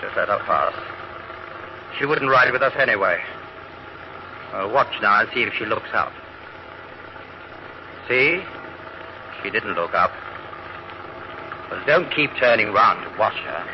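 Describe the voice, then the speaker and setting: A curt impatient cop type, kinda low voice, car engine rumbling, muffled old radio sound. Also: male voice, expressive, measured pace, long pauses. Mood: brisk. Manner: clipped and no-nonsense. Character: brisk, impatient middle-aged police officer. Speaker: officer driving a car in a radio play. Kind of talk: 1940s-50s radio drama acting. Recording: dull old radio broadcast with engine noise.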